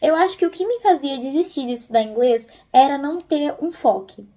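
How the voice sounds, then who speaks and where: A woman's voice: high-pitched, lively intonation, brisk, dark tone. A young Brazilian woman chatting casually and encouragingly as she gives tips in a video. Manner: informal, encouraging. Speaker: host of a video channel.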